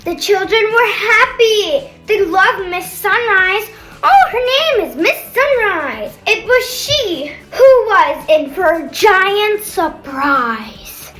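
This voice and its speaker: A young girl reading a picture book aloud with chatty asides, in an expressive sing-song manner. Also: very high-pitched, slow. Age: child, older sister of a kindergartner. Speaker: storybook reader. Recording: roomy echo, faint background music.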